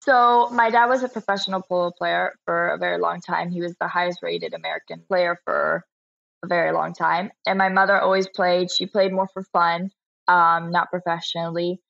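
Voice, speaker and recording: Young woman, gravelly low voice. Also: fairly even intonation, moderate pace, no room sound, highs cut off. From Florida, US. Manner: soft-spoken, brief, laughs often. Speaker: guest, polo player. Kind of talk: casual interview answers.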